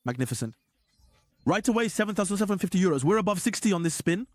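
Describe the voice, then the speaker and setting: A hoarse man giving excited, repetitive casino slot commentary as a streamer. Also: sits high, quick pace, dry recording.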